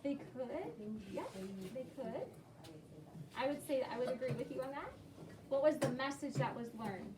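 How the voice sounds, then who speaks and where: Echoey, higher-voiced woman teaching. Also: lively intonation, normal speed, long pauses. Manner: questioning, prompting, affirming children's answers. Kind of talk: interactive read-aloud lesson with children. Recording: echoey room, distant mic, clear background noise.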